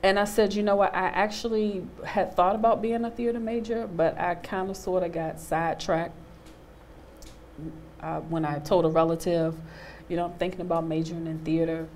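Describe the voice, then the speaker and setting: A woman in her thirties with a low voice tells a story on a studio panel stage. She brings her tale to life by acting out the people she quotes. Her lapel mic catches a faint hum of the room. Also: fairly even intonation, normal speed, a little mellow. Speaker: panel guest, actor. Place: studio stage with a small audience.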